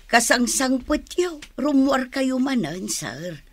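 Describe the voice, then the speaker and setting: An actress playing a gossipy, deferential household helper in an Ilocano radio drama, with an Ilocano accent. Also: female voice, sits high, sing-song, slow, a little hiss or hum, heavily acted.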